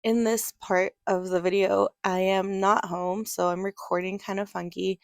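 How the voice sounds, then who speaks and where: A woman's voice: mid-range voice, level tone, normal speed, creaky, no echo, clean studio sound. A congested woman talking casually as a book vlogger, chatty and a little rambling. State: congested from a cold. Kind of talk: casual voice-over chat.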